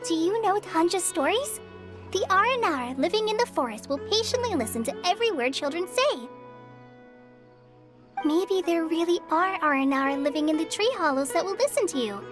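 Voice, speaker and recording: A woman voicing an earnest, kindly young trainee forest ranger in an anime game, gentle and reassuring. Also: very high voice, lively intonation, normal speed. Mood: helpful. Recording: music under the voice.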